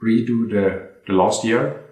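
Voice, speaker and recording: Low-voiced Swedish man, hesitant and roomy. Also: fairly even intonation, a little slow, darkish tone. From Sweden. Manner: hesitant, repeats words while recalling his career. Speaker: watchmaker guest. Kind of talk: casual interview chat. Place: room in a home. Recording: roomy home interview.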